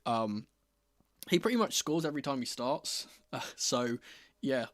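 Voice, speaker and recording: A man, a bit croaky from being under the weather, chats casually and wryly as the host of a football watchalong stream. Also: mid-range voice, animated, unhurried, fairly bright tone, no room sound. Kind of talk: casual livestream chat.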